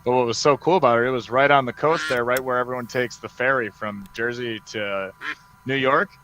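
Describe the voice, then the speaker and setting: A man hosting a hobby livestream, chatting casually in an excitable, rambling way. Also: mid-range voice, fairly quick, low-quality recording. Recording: steady background noise.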